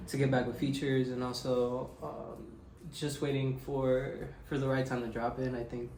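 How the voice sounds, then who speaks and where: A man's voice: medium pitch, darkish tone, reverberant, slight background noise. A young man from Mexico answering interview questions in a laid-back, rambling way with many fillers. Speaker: interviewed musician.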